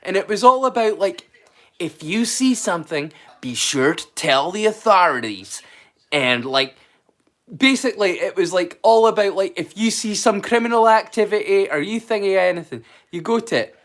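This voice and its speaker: A man's voice: high-pitched, animated, normal speed, a bit bright. A Scottish man chatting casually on a live stream as a storyteller, rambling and swearing freely.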